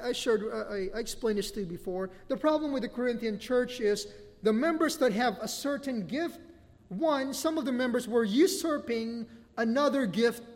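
A Filipino-accented man in his middle years preaches from the pulpit, his voice sitting high for a man. He talks animatedly and laughs often between points. His words ring out with a long reverb from the church hall. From Philippines. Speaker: pastor. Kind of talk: sermon.

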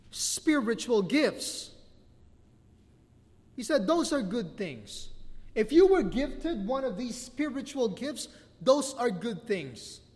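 A Filipino-accented man in his middle years preaches from the pulpit, his voice sitting high for a man. He talks animatedly and laughs often between points. His words ring out with a long reverb from the church hall. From Philippines. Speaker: pastor. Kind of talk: sermon.

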